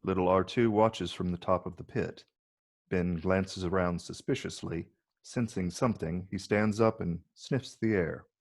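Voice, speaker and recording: A man's voice: deep voice, unhurried, a little mellow. A man narrating scripted stage directions in a table read.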